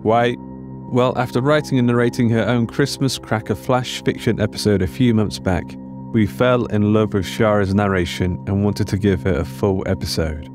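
A man introduces a podcast episode from a script. His voice is fairly low, and music plays clearly behind him. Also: fairly even intonation, moderate pace. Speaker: podcast host. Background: prominent music.

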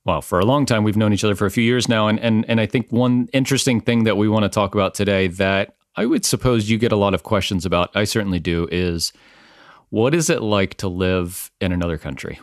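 A man's voice: lowish voice, no echo, studio quality. A man hosting a podcast, chatting casually with a guest and often restarting his sentences. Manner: chatty, lots of fillers and restarts. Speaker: podcast host. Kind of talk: casual conversational interview. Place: home in a small village.

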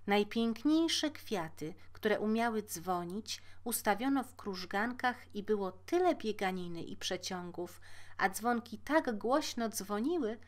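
A woman reading a fairy tale aloud as a storyteller, measured and expressive. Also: mid-range voice. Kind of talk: scripted fairy-tale narration.

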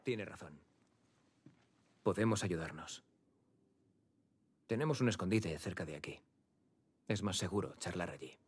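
An actor with a Castilian Spanish accent playing a young, protective survivor in a video game dub, casual and streetwise. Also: male voice, low-pitched, unhurried, pauses often, slightly rough. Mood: earnest. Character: young male survivor, protective, easygoing older brother.

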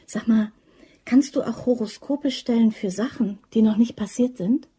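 A woman's voice: fairly high pitch, moderate pace, warm tone, husky. A woman playing an eager, naive young woman in a German crime radio drama, chatty and insistent. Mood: casual, sly. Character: eager young woman devoted to her boyfriend, scheming, upbeat.

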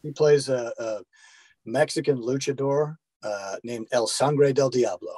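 A man's voice: medium pitch, measured pace, warm tone, breathy edge, dry recording. A man, a podcast guest telling anecdotes in a casual, conversational way. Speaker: podcast guest, actor and director.

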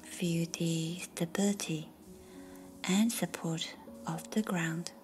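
Slow whispering meditation guide woman. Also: lowish voice, fairly even intonation. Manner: slow soft whispering delivery. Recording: faint music behind.

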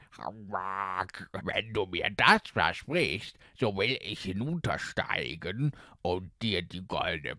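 A man voicing a bargaining, pleading frog in a fairy-tale audiobook, drawing out his words with croaking quacks. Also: low voice, pushed and bright, no echo, clean studio sound, exaggerated voice. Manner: drawn-out, punctuated by croaking quacks.

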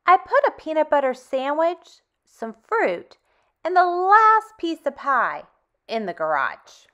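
High, lively woman reading to children. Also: muffled recording. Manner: animated, expressive, deliberate phrasing with dramatic hushes. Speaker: storytime host. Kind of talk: children's read-aloud, addressing young children.

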